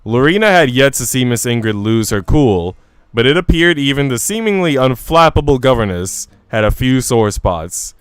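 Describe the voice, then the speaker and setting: Animated man streaming, clear voice. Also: medium pitch, moderate pace, no room sound. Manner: slangy, informal. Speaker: live streamer. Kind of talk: casual gaming stream chat and visual novel narration.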